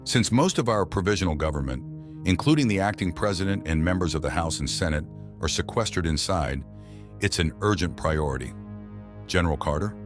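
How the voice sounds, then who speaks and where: Deep, animated commanding director actor, male. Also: a little fast, music in the background. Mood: grave, urgent. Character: commanding male director briefing survivors, urgent and concerned. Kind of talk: dramatic audio comic acting.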